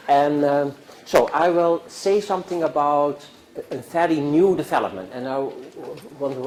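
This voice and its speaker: An older man from the Netherlands with a Dutch accent gives a university lecture to students, talking in a discursive way with halting restarts and rhetorical questions. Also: mid-range voice, darkish tone. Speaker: guest lecturer. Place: university classroom. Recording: echoey classroom with faint noise.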